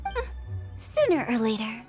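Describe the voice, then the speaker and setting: A woman voicing a playful, morbid young female funeral parlor director in a game, talking in a teasing, theatrical sales pitch. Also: very animated, unhurried, darkish tone, soft background music. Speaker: game character.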